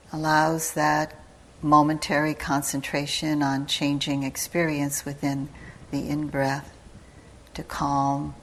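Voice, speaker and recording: A low-pitched woman leads a guided meditation at a very slow pace. She speaks calmly in short, measured phrases with long pauses between them, and her intonation stays very flat. She is a teacher giving step-by-step instruction. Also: creaky, slight background noise, low-quality recording. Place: meditation retreat hall.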